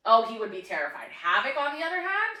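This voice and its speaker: A loud, animated woman co-hosts a casual chat and laughs often, her voice high and swinging all over the place. She sounds bright and a little sharp. She's picked up in an echoey room from some distance off the mic. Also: fast. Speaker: podcast co-host.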